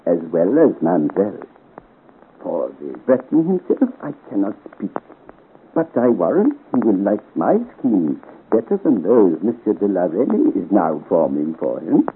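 An actor playing a scheming, deferential French duke in a 1950s radio serial, speaking in a courtly, persuasive way. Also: male voice, on the low side, big pitch swings. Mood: confident, sly. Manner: courtly, deliberate, persuasive, with calculated hesitations. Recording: scratchy, tinny 1950 radio serial recording.

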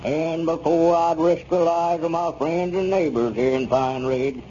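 Male actor as genial American village squire, ingratiating, slightly high mellow voice, 1930s radio comedy, muffled hissy recording. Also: fairly even intonation, unhurried, lightly acted. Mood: genial, conciliatory. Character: smooth-talking, seemingly contrite small-town squire.

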